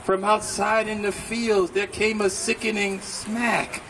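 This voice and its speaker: A middle-aged man giving a theatrical, deliberate read-aloud of a rhyming children's story over an outdoor microphone. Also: sits high, flat delivery, a bit bright. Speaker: storybook reader. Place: outdoor park gathering. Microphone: microphone on a stand, filmed by a nearby camera. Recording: windy outdoor PA, distant, noisy.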